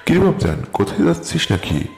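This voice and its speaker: A man's voice: fairly low pitch, huge pitch swings, a little fast, darkish tone. A man playing an affectionate, careworn old uncle in an audio story, speaking tenderly like a father. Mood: fond. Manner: tender, fatherly, addressing a younger man fondly. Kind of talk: dramatised audio story acting. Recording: echoey reverb with music behind.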